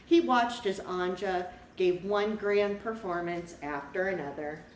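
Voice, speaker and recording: A woman reading a picture book aloud to young children, pausing to explain things simply and ask them questions. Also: on the low side. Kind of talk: storybook read-aloud with asides to young children. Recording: echoey room, distant mic, faint noise.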